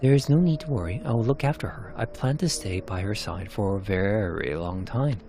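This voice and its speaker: A man voicing a gentle, composed woman in a narrated game story. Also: lowish voice, animated, moderate pace. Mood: soft, reassuring. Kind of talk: narrated game cutscene character.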